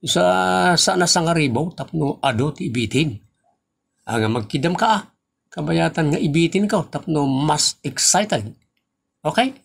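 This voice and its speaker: A man's voice: a little high, animated, a little slow, gravelly, a little hiss or hum. A man with an Ilocano Filipino accent acting a teasing, scolding rural father and husband in a comedy audio drama, with an emphatic, preachy way of talking. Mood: teasing, boastful.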